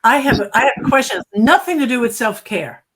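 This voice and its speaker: A woman's voice: fairly high pitch, animated, a little fast, a bit bright, slightly rough. Emphatic woman co-hosting a talk show. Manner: emphatic and direct, giving advice. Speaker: co-host.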